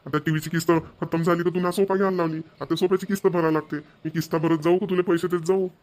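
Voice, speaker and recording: A man's voice: a little high, fairly even intonation, fast talker, darkish tone, lightly acted. A man playing an exasperated, thrifty young husband in a cartoon, complaining and scolding with a Vidarbha Marathi accent. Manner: nagging, complaining. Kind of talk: amateur cartoon voice acting.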